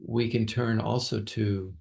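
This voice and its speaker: A man leading an unscripted guided meditation as a teacher, speaking haltingly with long pauses and repeated words. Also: lowish voice, steady tone, creaky, a little mellow.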